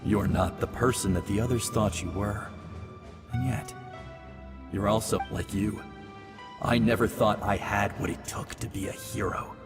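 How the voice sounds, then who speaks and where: A man's voice: on the low side, fairly even intonation, close to an everyday voice. Male actor as ancestral game hero: dignified, reflective, dry wit, music swelling underneath. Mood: solemn, wry. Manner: measured, reflective. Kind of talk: scripted video game dialogue. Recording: long reverb, music underneath.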